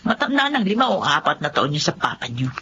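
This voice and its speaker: A woman playing an excitable, scolding middle-aged mother with a Filipino accent in a radio drama. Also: low voice, fairly quick, highs cut off, slight background noise, full character voice. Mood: confiding, animated.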